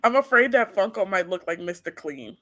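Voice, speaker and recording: A woman's voice: mid-range voice, expressive, fairly quick, a bit bright. A woman with a mid-range voice chats on a podcast in a playful, teasing way and often restarts her sentences. The sound is dry and close, with no room echo. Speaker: podcast co-host. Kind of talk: casual podcast chat.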